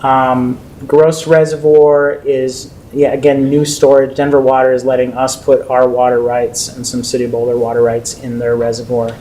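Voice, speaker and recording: A man from the city's water staff briefs a council workshop. His voice is mellow and his delivery is measured and explanatory, with frequent ums. A faint rumble sits under the recording. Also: little pitch movement, rough recording. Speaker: city water staff presenter.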